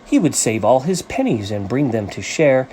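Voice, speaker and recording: A man reading a rhyming children's story as its author, with an animated, sing-song rhythmic delivery. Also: medium pitch, moderate pace, slight background noise, low-quality recording.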